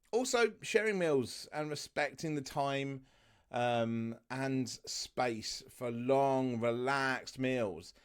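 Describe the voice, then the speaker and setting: A man with a naturally loud voice, talking casually to camera as a travel vlogger, loose and full of fillers and asides. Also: mid-range voice.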